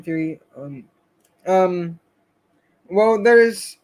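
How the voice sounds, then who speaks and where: A man hosting a casual live stream, chatting with viewers in a rambling, hesitant way full of fillers and restarts, his voice going a bit hoarse. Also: sits high, animated, drawn-out words, a little mellow. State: slightly hoarse, losing his voice.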